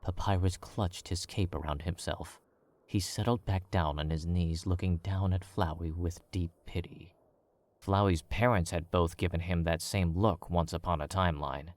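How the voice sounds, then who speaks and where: A man narrating a fan fiction as an audiobook, in a measured, wry storytelling manner. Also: low voice, steady tone, darkish tone.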